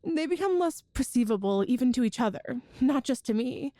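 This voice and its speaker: A woman's voice: high voice, expressive, a little fast, no echo, studio quality. A woman playing an affectionate, playful girlfriend from a ghost family in an ASMR audio roleplay, chatty and tender. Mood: affectionate, explaining. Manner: chatty and tender, with soft laughs and sighs.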